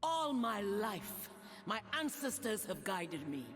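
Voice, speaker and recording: An actress playing a proud, ruthless warrior matriarch in a video game, speaking in a menacing, declamatory way. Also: female voice, mid-range voice, very animated, takes it slowly, fairly bright tone. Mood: proud, reverent. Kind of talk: scripted video game character voice lines. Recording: long reverb, music behind.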